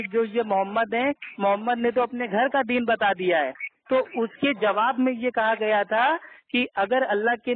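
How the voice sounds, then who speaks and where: Male debater, strikingly high pitch, pushy and repetitive in argument, heard down a narrow telephone line. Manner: insistent, argumentative, repeats himself. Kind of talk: heated religious debate on a call. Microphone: phone call. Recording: tinny phone conference line.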